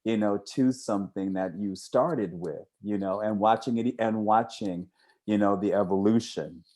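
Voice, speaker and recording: A man's voice: on the low side, moderate pace, a little mellow. An American man hosting an interview in a casual, chatty style. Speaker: interview host. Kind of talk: casual chat.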